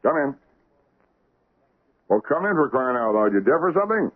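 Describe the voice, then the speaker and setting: An actor playing a brusque, harried hotel manager in a 1970s radio drama. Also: male voice, mid-range voice, sing-song, normal speed. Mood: impatient. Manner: brusque, impatient, rattled. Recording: tinny lo-fi old radio broadcast.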